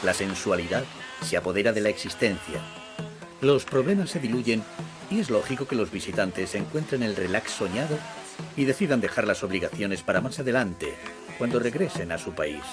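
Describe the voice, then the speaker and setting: A man reading scripted documentary narration in a measured, descriptive way. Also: fairly low pitch, lively intonation. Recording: voice-over with loud music underneath. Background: music.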